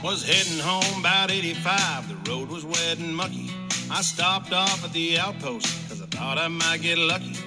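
A man's voice: fairly high pitch, moderate pace. Man singing a crude country song. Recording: song played over loud music.

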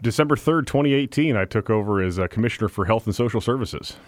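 A man's voice: fairly low pitch, animated, fast talker, no echo. A man, a guest on a radio show, talking earnestly and at length. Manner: earnest, talkative, with fillers. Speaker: guest, political candidate. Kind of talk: radio interview. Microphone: studio microphone.

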